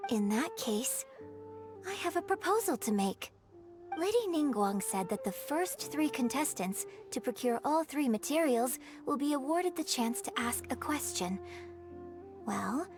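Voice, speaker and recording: A refined, higher-voiced young opera lady. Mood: politely proposing. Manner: polite, formal, articulate. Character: refined, courteous young female opera performer. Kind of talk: video game voice acting. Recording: clear background music under the voice.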